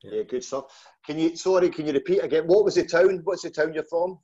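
A man's voice: on the high side, fast, dark tone, slightly rough. Glaswegian man hosting, slightly lo-fi. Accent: Glaswegian Scottish. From Scotland, just outside Glasgow. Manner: informal, matey, colloquial. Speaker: podcast host. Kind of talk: casual interview chat. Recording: dull, lo-fi call audio.

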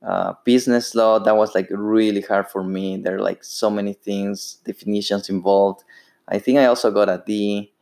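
A man's voice: fairly low pitch, fairly even intonation, normal speed, creaky, a little mellow. A man in his thirties from Ecuador with a Spanish accent, hosting a solo podcast and speaking unhurriedly with frequent fillers and restarts. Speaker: podcast host.

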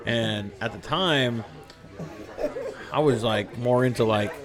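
A man's voice: mid-range voice, slow pace, over music. A man hosting a casual podcast chat, laughing and swearing freely at a family gathering. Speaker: podcast host. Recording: noisy room with crowd chatter.

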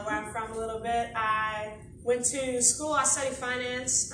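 A woman in her thirties with an American accent, answering conversationally as a guest in a panel interview. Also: fairly high pitch. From Chicago, United States. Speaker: panel guest, company employee and founder. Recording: roomy echo, distant mic, noticeable background noise.

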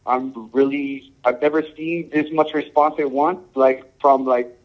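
A man from Samoa and Hawaii, a reggae musician, answering interview questions in a laid-back, halting way, full of "um" and "you know". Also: mid-range voice, steady tone, lo-fi sound.

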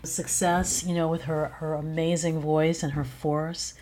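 A woman's voice: low-pitched, flat delivery, a little slow, dark tone, slight background noise. A woman being interviewed as a guest playwright, talking conversationally with effusive, emphatic praise.

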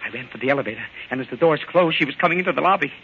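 An actor playing a panicky, cowardly small-time crook, stammering and slangy, in 1940s radio acting style. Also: male voice, a little high, very fast, vocal fry, sharp tone. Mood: frightened, frantic. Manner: frantic, stammering, slangy pleading. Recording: dull, noisy old radio broadcast.

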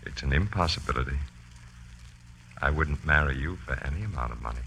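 An actor playing a suave, deliberate killer who courts women, in an old-time radio drama style. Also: male voice, a bass voice, pushed and bright, full character voice. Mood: cold, menacing. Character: smooth, courting lady killer, a menacing strangler. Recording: noisy old radio recording with hum.

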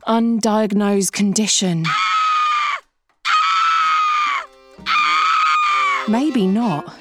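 A woman's voice: medium pitch, slow pace, shouting, over loud music, natural voice. A woman playing an anxious, suspicious amateur sleuth with a fretful, incredulous manner in a comedy audio drama. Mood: doubtful, rueful.

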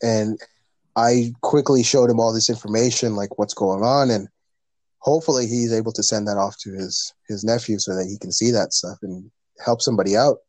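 A man's voice: on the low side, fairly even intonation, normal speed, darkish tone, no room sound. A man, talking earnestly as a podcast guest in a rambling, casual way with many fillers. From Canada. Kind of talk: casual conversation.

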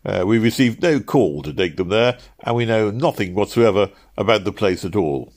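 A man's voice: lowish voice, animated, moderate pace, a little mellow, pitched above the performer's own voice. A man voicing a fussy, flustered, officious travel agency clerk in an audiobook, stammering and hemming, apologetic but authoritative. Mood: dismissive, authoritative. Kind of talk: audiobook character voice.

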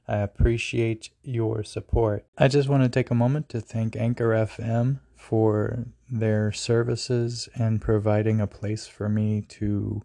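A man hosting a casual podcast interview, talking in a friendly, unhurried way. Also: medium pitch, creaky, warm tone. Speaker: podcast host.

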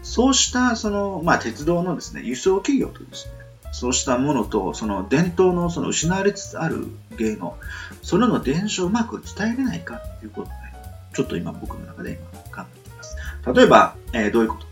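A man's voice: fairly high pitch, very animated, a little fast, soft background music. A man hosting a casual solo talk radio show, politely explaining his thoughts in a hesitant, meandering way as he thinks aloud.

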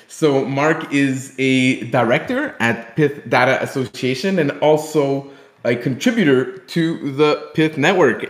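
Roomy-sounding male podcast host. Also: medium pitch. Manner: friendly, filler-heavy interviewer. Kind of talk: casual podcast hosting. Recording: roomy echo.

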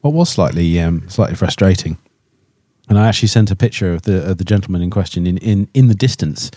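A man's voice: low voice, quick pace, warm tone, no echo. A man chatting casually on a podcast panel in a jokey, rambling way. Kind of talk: casual chat.

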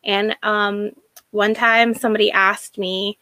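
A woman from Washington state with an American accent, giving a reflective personal monologue in a halting way with emphatic repeated phrasing. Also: medium pitch, level tone, bright, forceful tone, no room sound. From Washington state, United States. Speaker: speaker sharing a personal story.

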